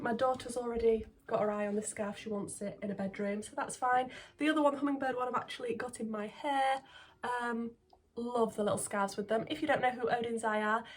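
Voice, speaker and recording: Woman makeup vlogger, slightly high, husky from a cold, excitable and rambling, faint music under her. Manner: chatty and excitable, rambling with frequent ums, drops to near-whisper at times. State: getting over a cold. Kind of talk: casual beauty vlog chat. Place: indoors at home, filming makeup at a mirror.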